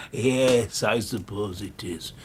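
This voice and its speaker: An actor playing a bitter, crippled older ex-soldier telling his story in a radio drama, halting and emotional, with a rasping voice. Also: on the low side, a little hiss or hum. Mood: bitter, wistful.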